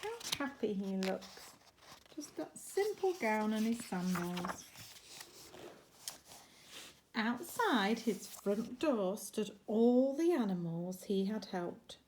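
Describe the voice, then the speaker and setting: A woman reading a children's storybook aloud expressively, with dramatic pauses and chatty asides. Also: mid-range voice, big pitch swings, noisy background.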